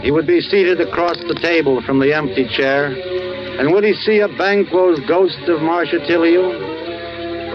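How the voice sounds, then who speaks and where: A man plays a brooding storyteller narrating a vintage radio drama. His voice is fairly high, with measured, ominous, literary phrasing. Music plays clearly behind him in the dull old recording. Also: natural voice. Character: brooding, erudite storyteller recounting murder suspects.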